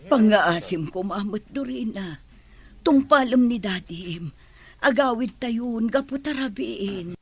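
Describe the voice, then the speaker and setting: High, creaky, pleading Filipina housemaid. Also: female voice, expressive, slow pace, a little mellow, exaggerated voice. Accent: Filipino, Ilocano. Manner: deferential, pleading. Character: humble, pleading young pregnant household helper. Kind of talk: Ilocano radio drama acting.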